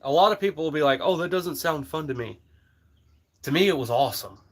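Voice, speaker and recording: A man's voice: on the high side, animated, brisk, noisy background. A man in his thirties chatting casually on a livestream, rambling with lots of fillers while shooting outdoors. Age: 30s. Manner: rambling, easygoing, filler-heavy. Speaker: livestream host. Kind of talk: casual live-stream chat while target shooting.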